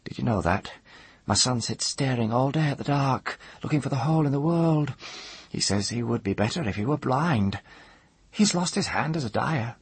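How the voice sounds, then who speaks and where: A man voicing a bitter, half-mad old woman from a fantasy storybook, hoarse and screechy, with archaic, intense speech. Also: mid-range voice, animated, normal speed, no room sound, pitched above the performer's own voice. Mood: desolate, raving. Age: older.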